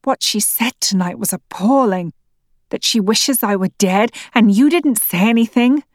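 A woman voicing a furious stepmother arguing with her husband, sharp and insistent. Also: on the high side, lively intonation, measured pace, no echo, clean studio sound. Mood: indignant. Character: furious defensive stepmother in a family fight.

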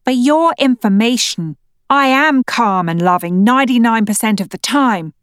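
A woman voicing a furious stepmother arguing with her husband, sharp and insistent. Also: a little high, expressive, normal speed, clear, resonant tone, no room sound, clean studio sound, pitched above the performer's own voice, close to an everyday voice. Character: furious defensive stepmother in a family fight.

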